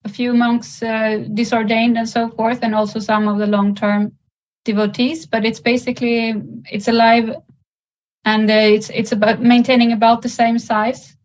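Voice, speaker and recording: A woman talking as a meditation student in a casual question-and-answer conversation, speaking in a halting way. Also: fairly high pitch, flat delivery, a little mellow. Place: video call. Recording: muffled video call audio.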